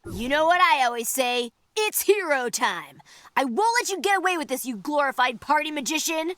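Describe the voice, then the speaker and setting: Cocky high-pitched boy hero. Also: animated, moderate pace, a bit bright, no echo. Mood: defiant. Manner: bold, cheeky. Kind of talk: cartoon video game acting. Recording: music under the voice.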